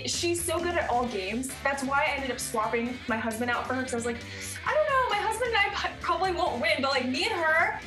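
A woman's voice: high-pitched, lively intonation, fast talker, echoey room, over music. A woman in her thirties from Ohio, a game show contestant, chatting bubbly over a video call from home. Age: 30s.

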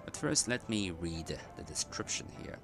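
A man's voice: sits low, sing-song, moderate pace. A man who streams games, giving casual live commentary and reading the on-screen text aloud. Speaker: game streamer. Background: loud game music.

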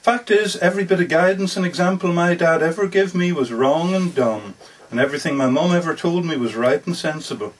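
A man reads as a lovestruck working man pouring out a heartfelt letter. He is plainspoken and folksy, with a fairly high-set voice that is slightly husky. The sound comes from a little way off the microphone at a live story reading. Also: brisk. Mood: reflective, regretful. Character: earnest, lovestruck working-class man from Georgia writing letters. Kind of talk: dramatic reading of a first-person story. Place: bookshop book launch. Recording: distant mic at a live reading.

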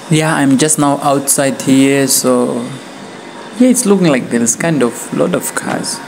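A man's voice: mid-range voice, a little slow, creaky, darkish tone, soft background music, low-quality recording. Chatty young man vlogging on a phone. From Namibia. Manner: chatty, repeats "like" and "guys", chuckles often. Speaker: vlogger interviewing people. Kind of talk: casual street-interview vlog. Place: shopping mall and car park, indoors and outdoors. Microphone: handheld phone camera at arm's length.

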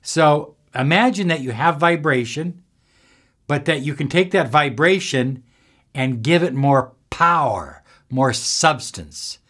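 A man in his sixties giving instructional self-help talk to camera, deliberate and emphatic, pausing between short phrases. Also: on the high side, fairly bright tone. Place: small indoor room with bookshelves.

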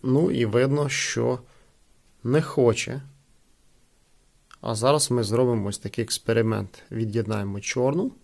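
A man with a creaky voice giving casual unboxing and product testing commentary as a host. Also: mid-range voice, steady tone, unhurried, pauses often, darkish tone. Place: indoors at a wooden table.

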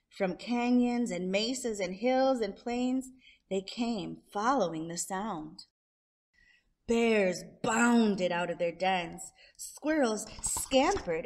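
Woman, children's storyteller, expressive read-aloud, mid-range pitch, drawn-out descriptive words. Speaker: storyteller, show host.

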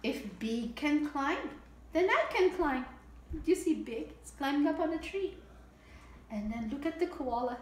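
A middle-aged woman with a very high voice reads a children's picture book aloud at an unhurried pace. She is expressive and keeps her explanations simple for little listeners. The room is echoey. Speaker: early childhood story time host. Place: indoor home room with table. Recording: echoey room with audible background noise.

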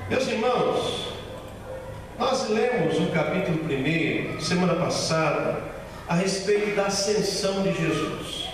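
Brazilian male pastor, high voice, slow emphatic delivery, recorded from far off in a big echoey church hall. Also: noisy background. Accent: Brazilian Portuguese. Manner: slow, emphatic, repeats key phrases. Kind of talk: sermon. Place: large bare church hall with a small stage. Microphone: handheld mic, filmed by far camera.

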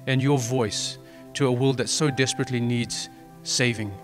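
A man's voice: mid-range voice, fairly even intonation, moderate pace, in a large hall, music in the background. A middle-aged man speaking earnestly and measuredly as he welcomes a church and leads a prayer, holding a handheld mic indoors. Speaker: pastor. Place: indoors in front of a wooden plank wall.

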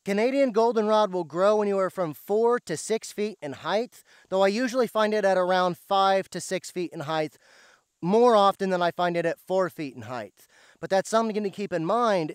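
Young Midwestern man, a bit hoarse, steady field-guide explainer, dry close outdoor sound. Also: high voice, moderate pace, no room sound. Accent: Midwestern American. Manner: steady, explanatory, repeats key points. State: slightly hoarse after losing his voice. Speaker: wild plant guide host. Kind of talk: outdoor plant identification walkthrough. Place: outdoors in a yard by plants.

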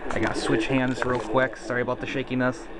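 A man's voice: medium pitch, little pitch movement, quick pace. A man giving a casual, unscripted hobby demonstration in a hesitant, self-deprecating way, indoors on a handheld camera. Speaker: hobbyist video maker. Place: indoors in a carpeted room at home. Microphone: handheld camera mic. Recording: noisy home camera recording, radio static.

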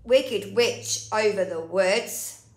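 Woman, nursery storyteller, very slow sing-song picture-book reading, recorded in an echoey room. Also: medium pitch. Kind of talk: picture-book read-aloud for young children. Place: indoor room. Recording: roomy indoor echo.

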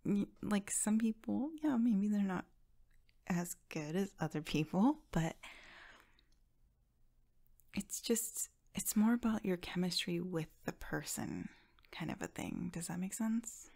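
A young woman playing an affectionate, playful friend in an ASMR roleplay, speaking softly and breathily, often in a whisper, with gentle reassurance. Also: medium pitch, vocal fry. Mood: gently reassuring. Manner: gentle, reassuring, giggly, halting.

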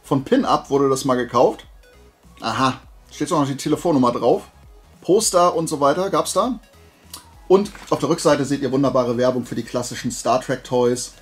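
A man's voice: medium pitch, expressive, normal speed. Chatty man with music behind him. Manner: conversational, colloquial, enthusiastic collector's chat with self-posed questions. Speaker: host of a flea market haul video. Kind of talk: casual chatty show-and-tell.

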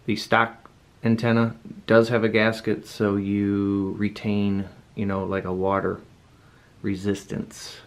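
Low-voiced man chatting through a demo. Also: monotone, takes it slowly. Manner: informal, rambling, thinking aloud. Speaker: product reviewer. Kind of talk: casual unscripted product demonstration. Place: indoor room at home.

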